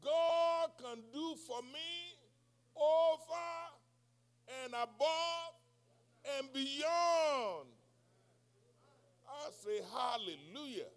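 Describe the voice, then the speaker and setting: A male pastor preaching a sermon, speaking English as a second language, who swings between soft, quiet passages and shouted, call-and-response preaching. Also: extremely high pitch, animated, very slow, pauses often. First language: not English.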